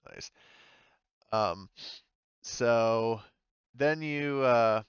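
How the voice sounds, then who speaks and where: A man's voice: mid-range voice, drawn-out words, clean tone, dry recording, dull audio. A man narrating a tabletop game as game master in a casual, hesitant, improvised way, explaining as he goes.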